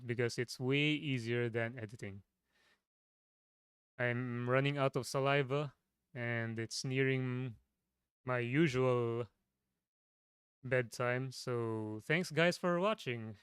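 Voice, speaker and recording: Low-voiced man chatting casually. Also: takes it slowly, pauses often, a bit bright, clear, resonant tone, dry recording, clean studio sound. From Philippines. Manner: rambling, with frequent restarts. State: voice tiring. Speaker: streamer. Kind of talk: casual stream chat.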